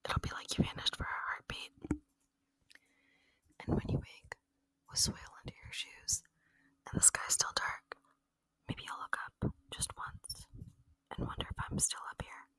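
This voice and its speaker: A woman voicing a gentle, lonely female alien in an ASMR roleplay, whispering tenderly and intimately in a breathy voice, close to the microphone. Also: dry recording, lightly acted, low-quality recording. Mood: soft, reassuring. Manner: slow, tender, intimate, earnest. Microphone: close microphone, dry.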